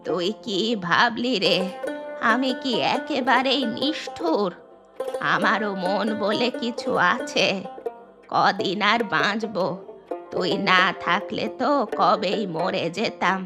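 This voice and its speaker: A woman's voice: mid-range voice, lively intonation, slow pace. Woman as repentant old village grandmother, music behind. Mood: earnest. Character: elderly village grandmother, repentant and newly generous. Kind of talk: cartoon story dubbing. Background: banjo-like music.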